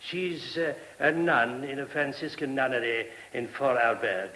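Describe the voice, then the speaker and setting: An actor playing a polite, thoughtful male monk in a radio play, explaining things in a measured, slightly hesitant way. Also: mid-range voice, pushed and bright. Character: courteous, thoughtful male monk of an abbey, a priest. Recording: hissy old radio recording.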